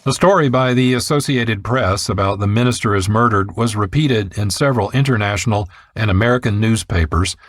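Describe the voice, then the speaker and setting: A man narrating a scripted radio documentary in a measured, formal way. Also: fairly low pitch, fairly bright tone.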